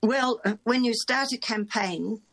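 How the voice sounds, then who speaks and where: A woman's voice: fairly high pitch, measured pace, fairly bright tone. A woman in her nineties from New Zealand, a guest on a radio interview, talking in a reflective, meandering way with many restarts. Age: 90s. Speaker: guest, founding member of a broadcaster supporters' group.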